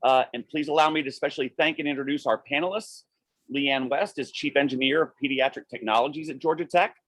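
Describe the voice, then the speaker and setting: A man opens a webinar and formally reads out the panelists' introductions, stumbling only slightly. His voice is mid-pitched and sits close and dry, with no room sound around it. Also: fairly even intonation, fast, fairly bright tone, no room sound, low-quality recording. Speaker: webinar moderator.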